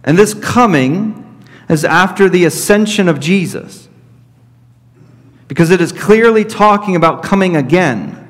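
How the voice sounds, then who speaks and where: A man in his thirties, a pastor preaching an expository sermon from a pulpit, teaching deliberately and repeating his key points. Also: high-pitched, animated. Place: church sanctuary at a pulpit. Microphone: fixed camera at middle distance. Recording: reverberant church hall.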